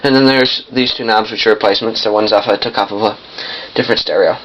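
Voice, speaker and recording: A young man giving a casual hands-on demonstration in a halting, piecemeal way, often correcting himself. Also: on the low side, fairly even intonation. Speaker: vintage audio collector demonstrating a machine. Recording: muffled lo-fi camcorder sound, noisy.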